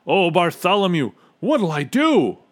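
A man voicing an excitable, blustering male royal trumpeter in a storybook, given to loud exclamations and wailing. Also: a little high, slow, pitched above the performer's own voice. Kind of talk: storybook character reading.

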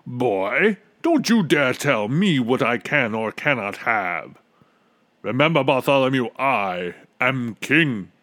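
A man's voice: medium pitch, sing-song, takes it slowly, a bit bright, dry recording. A man voicing a grumpy, demanding old king who growls and bellows, in a storybook reading. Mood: angry, bellowing.

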